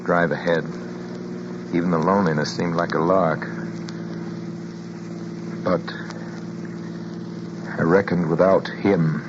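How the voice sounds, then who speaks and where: Deep-voiced, haunted man on old radio. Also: fairly even intonation, slow pace, long pauses, noisy background. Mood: somber, recounting. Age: 30s. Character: haunted man of thirty-six, desperately clinging to his sanity. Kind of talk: 1940s radio drama acting. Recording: dull vintage radio broadcast with music.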